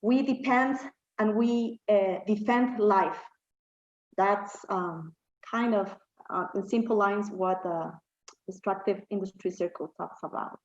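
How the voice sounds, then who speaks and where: A woman from Ecuador, a health activist, giving a recorded statement and often pausing with fillers as she searches for words. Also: medium pitch. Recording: echoey, dull lo-fi room recording.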